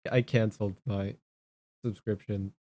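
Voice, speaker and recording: A man co-hosting a casual political chat, speaking in a halting way and repeating his words. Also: fairly low pitch, steady tone, warm tone. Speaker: talk show co-host.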